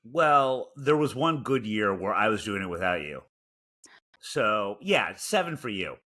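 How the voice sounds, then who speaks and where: A nasal man with a Chicago accent banters dryly as a podcast co-host, mocking himself as he rambles. His pitch sits low but swings widely. His tone is bright and forceful. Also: big pitch swings, a little slow. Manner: dry, self-mocking, rambling. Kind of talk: casual banter.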